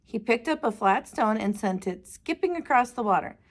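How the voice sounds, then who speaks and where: Animated woman reading a storybook aloud. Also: on the high side, normal speed. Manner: expressive, addresses young listeners. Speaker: teacher reading stories aloud for children. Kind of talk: animated read-aloud narration of a picture book.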